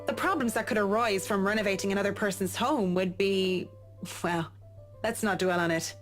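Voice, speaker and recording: A voice actress plays a composed young designer, speaking politely and carefully at an even middle pitch. Soft game music plays beneath. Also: female voice, natural voice. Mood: professional. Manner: polite, measured, professional. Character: professional young interior designer, measured and polite. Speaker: interior designer.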